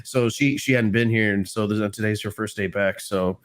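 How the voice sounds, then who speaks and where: A man's voice: on the low side, moderate pace, smooth. A man in casual podcast chat, a bit stuffy and congested from the flu, rambling with lots of slang and swearing. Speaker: podcast co-host.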